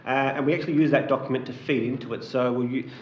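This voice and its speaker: Polite mayor in a noisy, echoey chamber. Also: male voice, medium pitch, fairly even intonation, rapid-fire. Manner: brief and polite, handing over questions. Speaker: mayor chairing the meeting. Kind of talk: chairing a council meeting. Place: council chamber. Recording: echoey muffled chamber with noise.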